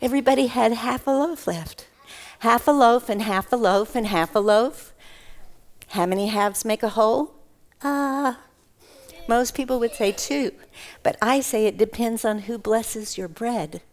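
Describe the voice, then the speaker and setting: A woman's voice: on the high side, unhurried. A woman telling Bible stories live to children in a theatrical way, with repetition and questions. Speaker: children's storyteller at church Bible school.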